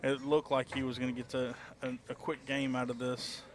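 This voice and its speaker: A man with a Texan accent giving relaxed, explanatory color commentary on a pool match, using folksy sayings. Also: medium pitch, fairly quick. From Texas. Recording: noisy crowd in the background.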